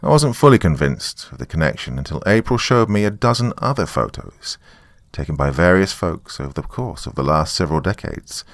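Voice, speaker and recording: Male narrator, low-pitched voice, highly varied intonation, first-person horror storytelling, wry conversational delivery. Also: no room sound.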